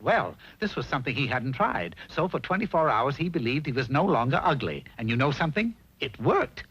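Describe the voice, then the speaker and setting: A man's voice: medium pitch, very animated, fairly quick, a bit bright, breathy edge. An American man giving arch, theatrical storybook narration for a 1960s cartoon. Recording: dull, faintly noisy old TV soundtrack.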